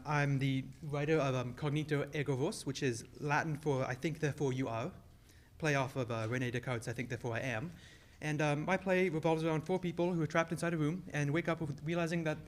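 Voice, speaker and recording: A man giving an informal, halting introduction to his work as a student playwright. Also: fairly high pitch. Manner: hesitant, halting.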